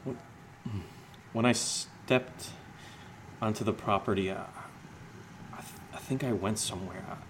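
An actor playing a sardonic, shaken man who jokes through fear, speaking haltingly in a naturalistic horror audio drama. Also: lowish voice, a little hiss or hum, close to an everyday voice. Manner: hesitant, halting, sarcastic.

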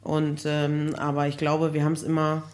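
A hoarse woman talking casually as a podcast guest, chatty and laughing often, with many fillers. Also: low voice, completely flat delivery, moderate pace, a little mellow. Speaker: guest, building project manager.

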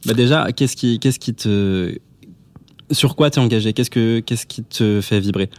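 A man's voice: lowish voice, a little slow, darkish tone, no echo. A man around thirty hosting a podcast interview in a casual, conversational way. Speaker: podcast host.

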